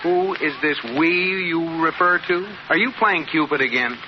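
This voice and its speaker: An actor playing a grumbling, wisecracking husband in an old radio sitcom, dry and exasperated, on a muffled, noisy recording. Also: male voice, on the high side, animated, normal speed, lightly acted. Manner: dry complaints and exasperated quips. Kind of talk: 1940s-50s radio sitcom acting.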